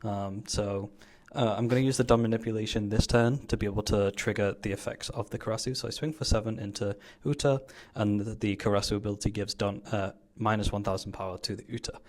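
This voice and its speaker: Lower-pitched man casually commentating card gameplay. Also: steady tone, fast talker, hardly any pauses, a little mellow. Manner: informal, slangy, explanatory. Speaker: card game player commentating his own match.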